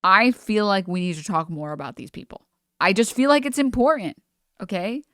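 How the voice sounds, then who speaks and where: A woman's voice: mid-range voice, animated, moderate pace, fairly bright tone, dry recording, clean studio sound. A young woman streamer giving chatty, sarcastic commentary while reacting to a video.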